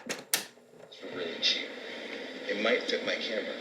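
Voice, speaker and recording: Echoey, noisy recording of a casual dad actor. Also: male voice, fairly low pitch, measured pace, lightly acted. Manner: casual, offhand. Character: easygoing father chatting to his child on tape. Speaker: audio drama actor. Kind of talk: naturalistic audio drama. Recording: noisy, echoey, distant old home recording.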